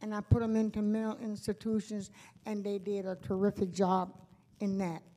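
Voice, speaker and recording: A woman's voice: mid-range voice, completely flat delivery, moderate pace, dark tone. An older woman, a grandmother, answering a question for an audience in plain, unrehearsed words. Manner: plain, unpolished, a bit hesitant. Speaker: speaker's grandmother.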